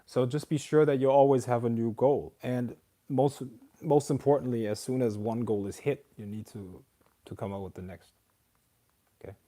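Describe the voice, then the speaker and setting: Man, livestream host, mellow dark tone, emphatic lecturing, casual Q&A. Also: mid-range voice, steady tone, normal speed. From outside America. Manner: reads questions aloud then lectures emphatically. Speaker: host answering viewer questions.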